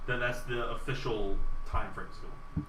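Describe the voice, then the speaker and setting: A man's voice: mid-range voice, steady tone, unhurried, bright, forceful tone. A man on a podcast panel chatting casually about video games. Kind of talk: casual gaming chat. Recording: distant, echoey, noisy room pickup.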